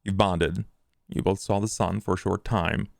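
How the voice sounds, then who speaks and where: A menacing vampire, played by a man in a tabletop game, speaks in a very deep, creaky growl. He is formal and deliberate, calculating yet grimly protective, recorded dry and close. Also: steady tone, no room sound. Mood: grim, assured. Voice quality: gravelly.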